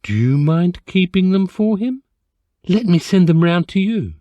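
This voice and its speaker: A man's voice: high-pitched, animated, measured pace, mellow, no room sound, lo-fi sound, pitched above the performer's own voice. A man voicing a genteel married woman who is anxious and exasperated, in a storybook reading. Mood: pleading.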